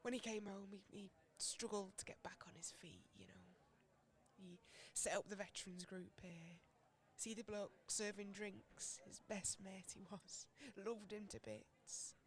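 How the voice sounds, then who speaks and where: A woman playing a chatty, warm-hearted bereaved older woman with a Northern English accent in an amateur radio play. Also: medium pitch, a little slow, close to an everyday voice. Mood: wistful, grieving.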